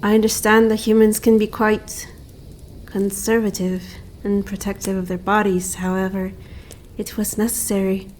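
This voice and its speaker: Soft, mellow female winter spirit, acted by a woman. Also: medium pitch, level tone, slight background noise, lightly acted. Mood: calm, reassuring. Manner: formal, archaic, measured phrasing. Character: calm, kindly female winter spirit guarding her snowy mountain land. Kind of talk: roleplay ASMR acting.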